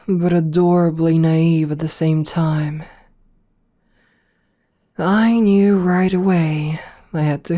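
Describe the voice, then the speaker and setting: A woman performs a seductive, self-assured female CEO with a possessive streak in an ASMR audio drama. Her voice sits low and soft-edged, dark in tone. She unspools her story very slowly and deliberately, like someone used to being obeyed. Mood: smitten, possessive. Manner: slow, deliberate first-person storytelling. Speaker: voice actor.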